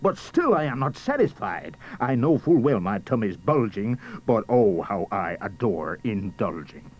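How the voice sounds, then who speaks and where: A man's voice: fairly low pitch, sing-song, unhurried. A man voicing a greedy, boastful big bad wolf, gloating and blustering in a comic storybook tale. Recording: slightly dull, faint noise.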